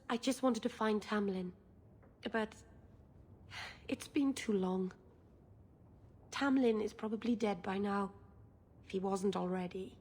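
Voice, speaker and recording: A woman acting a gentle, naive young elf mage in a video game, earnest and a little halting. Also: a little high, husky. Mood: wistful.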